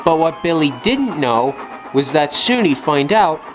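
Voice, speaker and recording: Animated male story narrator, lo-fi. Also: a little high, measured pace, over music.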